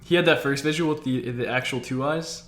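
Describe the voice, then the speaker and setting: A man's voice: mid-range voice, a little fast, a bit bright. A man hosting a casual livestream chat, talking enthusiastically and repeating himself for emphasis. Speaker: streamer host. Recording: roomy, echoing sound.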